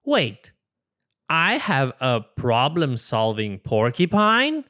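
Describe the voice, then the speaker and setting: A storybook reader voicing a worried, exasperated little rabbit, protesting with expressive emphasis. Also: mid-range voice, slow pace, voice pushed down, exaggerated voice.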